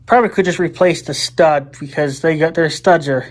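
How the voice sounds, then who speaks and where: A man with a voice a little higher than most talks in a casual, unscripted vlog style. He rambles and thinks out loud as he goes, working through things in plain, mildly profane everyday speech. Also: moderate pace. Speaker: vlogger. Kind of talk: casual off-the-cuff DIY vlog chat.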